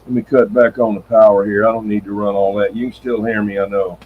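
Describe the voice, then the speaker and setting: A man's voice: lowish voice, flat delivery, fast talker, background noise. A man in casual, rambling, folksy conversation, hosting a CB radio live stream. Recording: dull, lo-fi CB radio sound.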